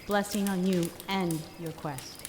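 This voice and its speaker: A woman's voice: lowish voice, fairly even intonation, unhurried, darkish tone, natural voice. A woman in a dramatic story reading, playing a kindly Magus who is a homebound new parent. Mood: regretful, kindly. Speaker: amateur church reader. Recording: echoey hall reverb with background noise.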